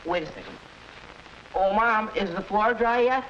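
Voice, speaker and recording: A man's voice: high-pitched, normal speed, a bit bright. A young male actor playing an eager, nervous, hesitant teenage boy in a 1940s educational film. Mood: earnest. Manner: hesitant, stammering. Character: eager, nervous teenage boy facing his first date. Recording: muffled, noisy 1940s film soundtrack.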